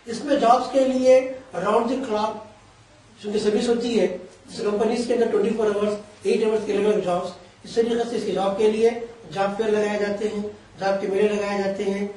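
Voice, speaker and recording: A man with a high, mellow voice patiently explains careers in long, winding sentences. He sounds set back from the mic in a very echoey room. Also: level tone, fairly quick, recorded from a distance. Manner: long explanatory sentences, Urdu-flavoured Hindi with English terms. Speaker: career guidance expert. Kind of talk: explanatory interview answers.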